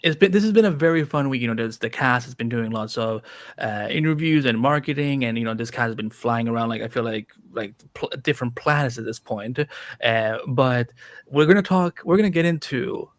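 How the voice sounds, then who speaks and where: A man's voice: mid-range voice, animated, brisk, dry recording, clean studio sound. A man in his early thirties hosting a live podcast review, loose and chatty, full of fillers. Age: 30s. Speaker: podcast host. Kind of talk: casual live-stream chat.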